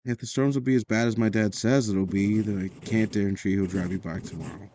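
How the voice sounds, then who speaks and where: A woman's voice: a bass voice, fairly quick, warm tone, slight background noise. A woman playing a guarded but caring, wry teenager in an audio drama, speaking in a casual, understated, teasing way. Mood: worried.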